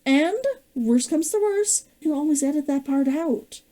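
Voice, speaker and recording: A woman's voice: high voice, expressive, normal speed, dark tone. A woman author reading her own novel aloud, then chatting about writing and laughing often. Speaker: author and streamer.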